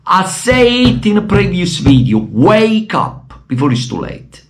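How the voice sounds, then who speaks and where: A man from Italy with an Italian accent, giving emotional, impassioned commentary as a football fan vlogger and bursting into shouted appeals, his voice wearing thin. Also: high voice, huge pitch swings, measured pace, faint music behind. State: losing his voice.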